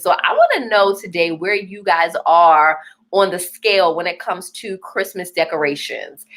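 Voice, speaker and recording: A woman's voice: mid-range voice, bright, forceful tone. A woman from Baltimore with a Baltimore accent, hosting a live stream in an upbeat, chatty way, laughing often and reading out viewer comments. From Baltimore, Maryland. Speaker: host.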